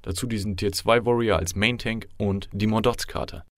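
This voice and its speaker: A man's voice: on the low side, steady tone, moderate pace, dry recording, clean studio sound, close to an everyday voice. A man playing theatrical card-game finalist. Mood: dramatic. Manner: overwrought, theatrical.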